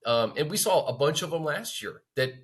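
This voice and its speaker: An American man co-hosting a sports show, talking conversationally and earnestly, a little halting as he builds his points. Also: a little high, expressive. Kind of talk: conversational sports talk analysis.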